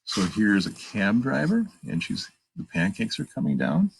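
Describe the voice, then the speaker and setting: A man with a gravelly, husky voice reads a picture book aloud to children, pausing to point out pictures and ask questions. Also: on the low side. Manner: animated, emphatic read-aloud delivery. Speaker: children's storytime reader. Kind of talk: reading a picture book aloud with chatty asides.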